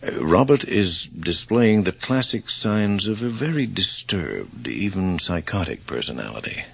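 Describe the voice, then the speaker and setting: A clinical, grave doctor in a horror audio drama. His bottomless bass is creaky and raspy, delivered with measured authority and lively inflection. The recording sounds muffled. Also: full character voice. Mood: grave, firm. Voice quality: gravelly, husky. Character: grave, clinical male doctor breaking a diagnosis.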